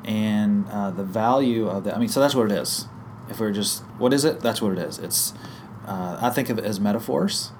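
A man with a hoarse, croaky voice from a head cold, explaining a teaching idea in a relaxed podcast chat, thinking aloud with fillers and restarts. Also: fairly low pitch, moderate pace, slight background noise. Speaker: guest teacher on small group ministry.

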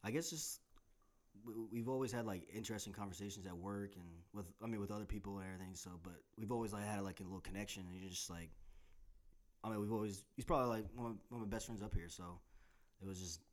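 A man's voice: on the low side, quick pace. A young man from Tennessee co-hosting a casual podcast, rambling and laid-back, laughing often. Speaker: podcast co-host. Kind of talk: casual chat. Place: apartment in the Bronx. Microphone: handheld recorder mics.

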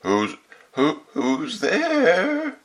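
A man voicing a frail old grandmother, sick in bed, crying out in drawn-out calls. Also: sits high, wildly swooping pitch, pitched above the performer's own voice, full character voice. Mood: querulous calling.

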